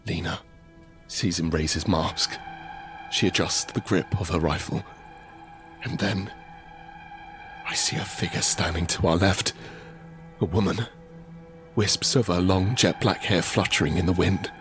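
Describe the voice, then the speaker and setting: A man's voice: low voice, steady tone, measured pace, long pauses, fairly bright tone. Man acting a tense male apocalypse survivor, music behind. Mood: tense, hushed. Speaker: narrator and lead character in audio drama. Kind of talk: first-person dramatic narration with acted dialogue. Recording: background music under the voice.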